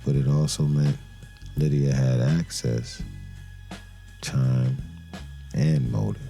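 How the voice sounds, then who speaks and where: A man playing a brooding detective, narrating a crime drama in a somber, deliberate noir style with dramatic pauses. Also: very deep voice, sing-song, vocal fry, dark tone, full character voice. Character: brooding male detective narrating a murder case. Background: music.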